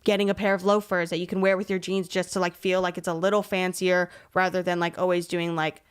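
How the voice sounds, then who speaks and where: A woman's voice: fairly low pitch, little pitch movement, fast, clean tone. A young woman hosting a podcast in a casual, slangy, rambling way, peppering her talk with "like". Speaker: podcast host.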